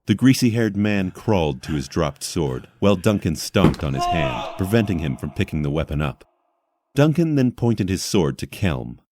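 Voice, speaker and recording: Very deep-voiced male fantasy narrator. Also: sing-song, moderate pace, a little mellow. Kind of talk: scripted fantasy story narration.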